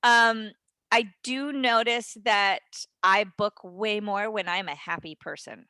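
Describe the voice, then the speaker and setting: A woman's voice: mid-range voice, slow, bright, forceful tone, smooth, no room sound. A middle-aged woman talking casually as an interview guest, in a frank, joking and emphatic manner. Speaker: guest, voice actor and dialect teacher.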